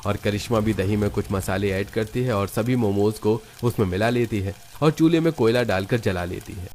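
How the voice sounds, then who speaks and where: A man's voice: fairly low pitch, normal speed, darkish tone, a little hiss or hum. A man reading scripted narration for a cartoon family story.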